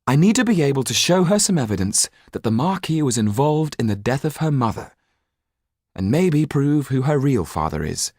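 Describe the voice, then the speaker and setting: A man's voice: mid-range voice, very animated, normal speed, darkish tone, dry recording, clean studio sound, pitched above the performer's own voice. A man voicing an earnest, defiant young idealist in a storybook, speaking urgently and directly. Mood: insistent.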